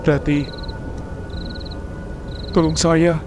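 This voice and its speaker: A man voicing a ghostly young husband in a horror cartoon, calling out slowly and eerily. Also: a little high, faint music behind. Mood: eerie. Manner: slow, flat, eerie calling.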